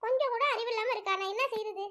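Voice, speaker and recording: A woman's voice: very high-pitched, steady tone, racing, sharp tone. A woman voicing a worried aunt who frets and complains about family news. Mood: fretting. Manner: fretting, complaining.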